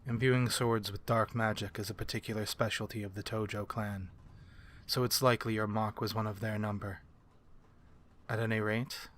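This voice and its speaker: A man voicing a courteous, learned vampire in an ASMR fantasy roleplay, speaking formally and with measured, archaic phrasing. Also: on the low side, fairly bright tone. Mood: grave, focused. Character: courteous, learned, human-friendly male vampire curse-breaker.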